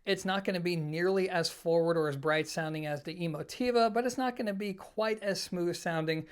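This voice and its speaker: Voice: medium pitch, a little fast, smooth. A reviewer presenting an informal product comparison, explaining things conversationally and speaking directly to viewers. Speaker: host of an audio equipment review channel.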